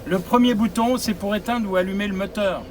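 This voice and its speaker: A man's voice: high voice, fairly even intonation, fairly quick, background noise. A man explaining the controls of a coach to a group of drivers, practical and repeating his advice. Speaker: driving instructor presenting a coach. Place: inside a coach, outdoors nearby.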